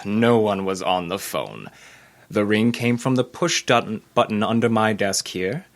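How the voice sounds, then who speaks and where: A man's voice: lowish voice, animated, measured pace. A man playing a smug, condescending sanitarium doctor in an old-time radio drama, with an unctuous, theatrical delivery. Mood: smug triumph. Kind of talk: old-time radio acting before a live audience.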